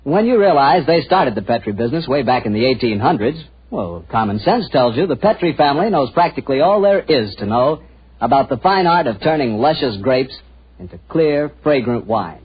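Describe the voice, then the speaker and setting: Animated American 1940s radio announcer, husky man. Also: mid-range voice, normal speed. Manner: folksy, salesman-like. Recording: muffled 1940s radio with music behind.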